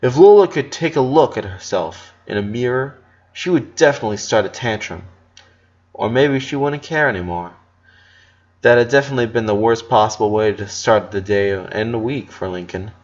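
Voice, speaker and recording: A man's voice: fairly low pitch, normal speed, muffled recording. A man reading fiction aloud as a dramatic narration, occasionally stumbling over a word. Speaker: fan fiction reader.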